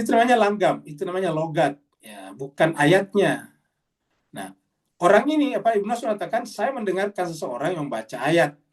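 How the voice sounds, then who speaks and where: A man's voice: on the high side, a little mellow, far from the mic. A middle-aged man teaching a Quran study session, explaining Arabic phrase by phrase with frequent "ya" tags. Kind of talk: religious study lecture. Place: study gathering, online session.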